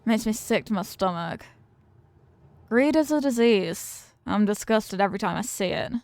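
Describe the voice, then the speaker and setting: A woman's voice: mid-range voice, lively intonation, normal speed, creaky, darkish tone, dry recording. A woman playing a confident, affectionate mafia boss in an intimate roleplay, teasing and streetwise, with slangy talk and pet names. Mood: contemptuous. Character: confident, doting female mafia boss, tough but affectionate. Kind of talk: intimate ASMR-style roleplay acting.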